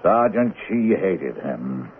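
A man's voice: low voice, very animated, full character voice. An actor playing a hesitant, regretful man confiding in police in a 1970s radio drama. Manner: halting, hesitant. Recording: noisy, tinny 1970s radio broadcast.

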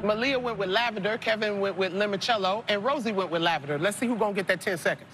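A woman in her thirties hosting a TV game show, playful and quick with slang and jokes. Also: mid-range voice. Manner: playful, lively, Southern-tinged slang like y'all. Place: large studio set of a supermarket. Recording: TV broadcast over loud music. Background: loud game show music.

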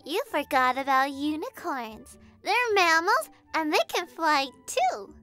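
A woman voicing a tiny, naive, excitable girl kitten in a cutesy childlike cartoon voice. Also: high-pitched, takes it slowly, soft background music, full character voice. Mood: sweet, chatty. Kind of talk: comic dub cartoon acting.

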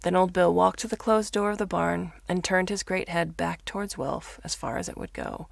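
A woman reading fiction aloud as a narrator, in a measured, expressive storytelling manner. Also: lowish voice, creaky, no room sound. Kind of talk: scripted audiobook-style narration of fiction.

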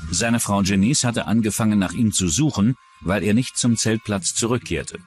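A man narrates a true-crime documentary in a very low voice. His scripted delivery runs over faint music. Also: moderate pace.

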